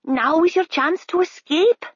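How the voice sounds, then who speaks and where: A woman's voice: very high-pitched, measured pace, no room sound, pitched above the performer's own voice. A woman voicing a wise, friendly raven who speaks urgently in old-fashioned, formal words. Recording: slightly dull, dry recording.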